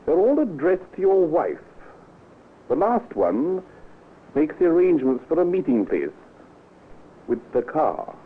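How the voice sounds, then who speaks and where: An actor playing a shrewd, cautious police inspector in an old radio drama, dry and politely probing, on a muffled, tinny recording. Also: male voice, fairly high pitch, very animated, measured pace, long pauses, husky, heavily acted. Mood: methodical. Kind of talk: old-time radio acting.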